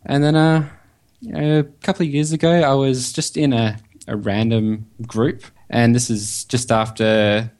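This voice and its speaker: Australian male guest, mid-pitched voice, casual conversational interview answers. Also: level tone, unhurried, a little mellow. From Perth, Western Australia. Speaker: podcast guest, website developer.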